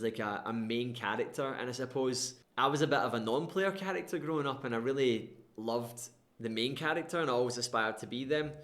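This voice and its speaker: A young man with a West Coast Scottish accent, candidly telling his own life story to the camera in a chatty, rambling way. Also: medium pitch. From Scotland. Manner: candid and chatty, with frequent fillers and restarts. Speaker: coaching brand founder. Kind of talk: personal story told to camera.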